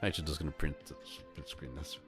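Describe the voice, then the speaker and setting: A man's voice: very deep voice, steady tone, fast. A man streaming a live read-aloud of a visual novel, narrating scenes and dropping casual asides. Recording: music behind the voice. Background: background music.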